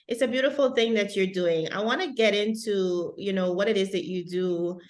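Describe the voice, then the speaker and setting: A woman's voice: mid-range voice, moderate pace. A woman hosting an interview, speaking with effusive praise and rambling restarts. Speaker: host.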